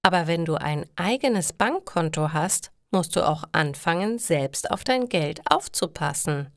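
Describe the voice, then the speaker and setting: A woman voicing a caring mother who gently advises her grown daughter in slow, reassuring storybook dialogue. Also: lowish voice, animated, no echo, clean studio sound.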